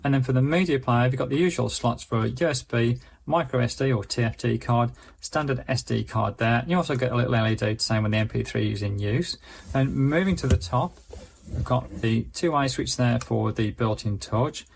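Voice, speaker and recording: A man with a creaky, gravelly voice gives a casual, explanatory product review. His pitch sits in an ordinary middle range, and faint background noise runs under his chatty demonstration. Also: moderate pace.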